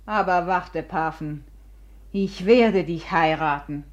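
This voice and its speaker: An actress playing a proud, reckless young woman in a 1950s radio drama, speaking in a sharp, scornful way. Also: fairly low pitch, slow. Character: proud, bitter young Russian beauty, reckless.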